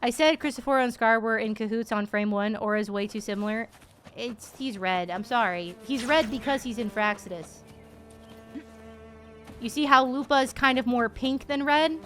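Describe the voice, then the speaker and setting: A young woman streamer giving casual, playful and expressive reaction commentary on a game. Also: a little high, moderate pace, faint music behind.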